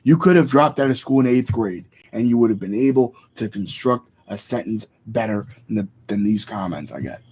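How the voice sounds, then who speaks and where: A man's voice: fairly low pitch, lively intonation, normal speed, hardly any pauses, darkish tone, husky. A man vlogging in a casual, rambling rant, opinionated and often correcting himself mid-sentence.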